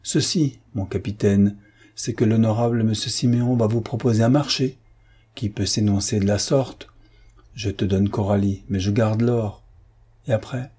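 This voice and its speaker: A man voicing a shrewd, ironic gentleman adventurer in a dramatic audiobook, mock-courteous and amused. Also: on the low side, fairly even intonation, normal speed, slightly rough. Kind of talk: dramatic audiobook character reading.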